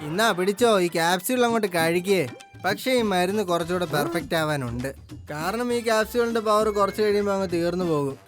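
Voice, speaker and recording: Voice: medium pitch, fast, lightly acted. A performer voicing a boastful, eccentric cartoon inventor who explains things with self-importance. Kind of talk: exaggerated children's cartoon dubbing. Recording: music behind the voice.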